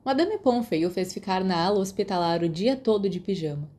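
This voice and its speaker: A woman's voice: mid-range voice, animated, fairly quick, mellow. A woman with a Brazilian accent reading scripted story narration as an audiobook narrator.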